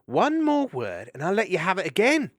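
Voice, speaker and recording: A man's voice: fairly high pitch, sing-song, a bit bright, no room sound, studio quality, pitched above the performer's own voice. A man doing a fierce, hot-tempered mouse warrior maid. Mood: scolding. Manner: brisk and commanding.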